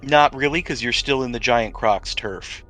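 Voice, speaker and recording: A man narrating a tabletop roleplaying game as game master, describing scenes conversationally with dramatic pauses. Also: medium pitch, fairly bright tone.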